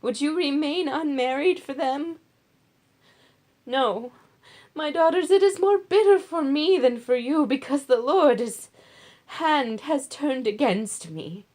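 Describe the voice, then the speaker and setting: A woman plays a bitter old widow from a Bible story. Her voice is pitched up and slow, pleading with heavy, impassioned emphasis on every phrase. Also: expressive, a little mellow. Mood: pleading, anguished. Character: grieving, bitter older widowed mother-in-law pleading.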